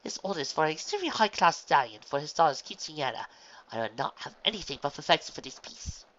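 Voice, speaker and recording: A woman's voice: sits low, big pitch swings, fast, a bit bright, highs cut off, slight background noise, pitched above the performer's own voice. A woman voicing a prim, fashion-obsessed young lady unicorn who talks in a haughty, fussy way.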